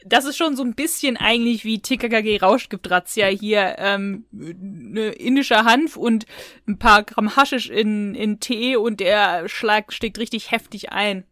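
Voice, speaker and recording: A woman's voice: medium pitch, unhurried, fairly bright tone, dry recording, clean studio sound. A woman co-hosting a podcast, chatting casually and retelling things in a colloquial, rambling way. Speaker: podcast co-host. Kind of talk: casual podcast chat, reviewing audio dramas.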